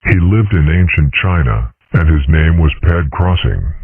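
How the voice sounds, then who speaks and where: A man voicing a placid, philosophical cartoon father, husky, measured and deadpan, in an amateur comic dub. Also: extremely low pitch, dry recording, full character voice. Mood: calm, scholarly. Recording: muffled, radio-like lo-fi audio.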